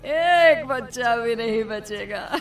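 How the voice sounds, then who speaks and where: A woman voicing a scheming middle-aged witch in a cartoon horror story, with slow, drawn-out delivery over music. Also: on the high side, expressive. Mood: gloating menace. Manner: slow, drawn-out menace with cackling. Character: gloating child-snatching witch posing as middle-aged banana seller.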